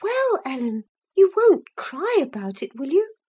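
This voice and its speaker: Woman voicing a haughty, high-pitched, spirited young lady. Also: a little slow, a little mellow. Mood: pert. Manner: exclamatory, mocking. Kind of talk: dramatised classic novel reading.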